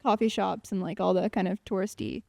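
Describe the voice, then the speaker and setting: A teenage girl with a soft, warm voice, reading her poem slowly and then answering questions in a hesitant way, full of "um" and "like". She starts sentences over and searches for her words. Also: medium pitch, fairly even intonation, creaky. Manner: hesitant, many fillers and restarts. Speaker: student poet. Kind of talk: poetry reading and casual answers.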